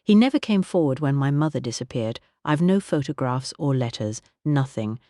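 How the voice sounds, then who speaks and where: A narrator voicing a young woman hotel owner, earnest and shaken, asking anxious, halting questions. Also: very deep voice, expressive, dark tone, dry recording, clean studio sound.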